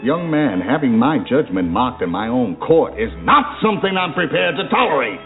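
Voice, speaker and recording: A man's voice: mid-range voice, sing-song, normal speed. An actor playing a stern, affronted judge in a film comedy, formal and scolding as his patience runs out. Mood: stern anger. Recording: phone-like clip with music behind.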